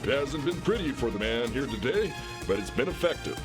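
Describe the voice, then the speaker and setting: An actor playing a knowing, unflappable sports color commentator in a satirical audio play. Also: male voice, medium pitch, big pitch swings, a little fast, music in the background, close to an everyday voice. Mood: measured excitement.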